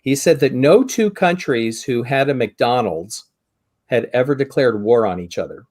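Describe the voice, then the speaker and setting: An American man in his fifties chatting on a podcast over a video call, reflective and wry, pausing as he works through his thoughts. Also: mid-range voice, expressive, darkish tone. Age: 50s. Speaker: podcast co-host or guest. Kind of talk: conversational podcast discussion. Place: indoor room on a video call.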